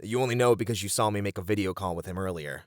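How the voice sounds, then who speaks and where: A man's voice: sits low, quick pace, no echo, natural voice. A man playing a strict, exasperated band manager in a scripted roleplay audio drama, with dry, chiding banter. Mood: reluctant. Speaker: voice actor.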